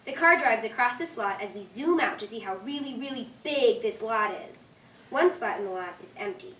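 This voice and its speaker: Young female narrator for script action lines, slightly high voice, picked up from a distance. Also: lively intonation, normal speed, pushed and bright. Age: young adult. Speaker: stage-directions reader. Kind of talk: scripted narration, read aloud. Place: echoey indoor room at a staged reading. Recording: echoey room, distant mic, faint noise.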